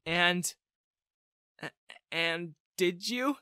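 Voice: medium pitch, extremely slow, sharp tone, clean tone, no room sound, studio quality. A narrator voicing a flustered, timid, reluctant ordinary character who stammers and hesitates in storybook dialogue. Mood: nervous, hesitant. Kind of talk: audiobook-style character dialogue.

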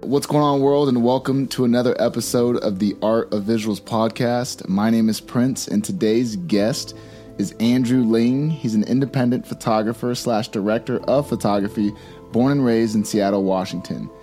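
A man's voice: mid-range voice, steady tone, moderate pace, dark tone, soft background music. A man hosting a podcast, speaking in a friendly, slangy way and calling his guest bro. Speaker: podcast host. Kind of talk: casual interview chat.

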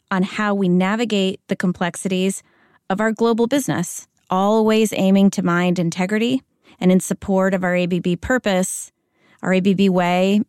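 A middle-aged woman from Spain with an American accent, answering interview questions as a corporate executive in a measured, deliberate way. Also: mid-range voice, a little mellow, smooth, no room sound, clean studio sound. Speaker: corporate chief integrity officer.

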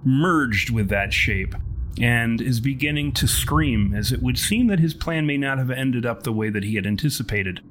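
A man's voice: mid-range voice, normal speed, a bit bright, slight background noise, low-quality recording. A man working as game master, narrating a horror tabletop game in grandiose, wordy, wry language. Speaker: podcast writer and artist.

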